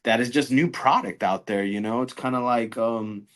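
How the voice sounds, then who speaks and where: Halting, informal man in an interview. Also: medium pitch, fairly bright tone. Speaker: guest, music media grader. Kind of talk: casual interview chat.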